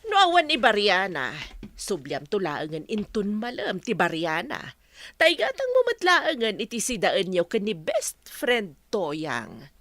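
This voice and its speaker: Actress as brisk friend recruiting a courier; wildly varied pitch, dry close mic. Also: female voice, wildly swooping pitch, fairly bright tone, no echo, exaggerated voice. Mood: persuading. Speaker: Merta, a visitor offering delivery work. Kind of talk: Ilocano radio drama acting.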